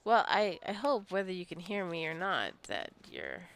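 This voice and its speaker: Woman gamer, low gravelly voice, casual tired ramble, jokey scolding. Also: wildly swooping pitch, moderate pace, a bit bright. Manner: rambling, scolds her game characters jokingly. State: tired, wants coffee. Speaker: gameplay streamer. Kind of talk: casual gameplay commentary.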